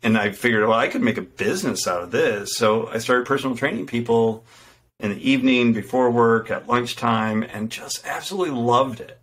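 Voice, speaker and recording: A man's voice: medium pitch, fairly quick. A middle-aged man, a podcast guest, telling his business story in a casual, earnest and self-deprecating way with frequent restarts. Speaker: podcast guest, fitness entrepreneur. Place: home room with window, indoors. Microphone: studio microphone in front.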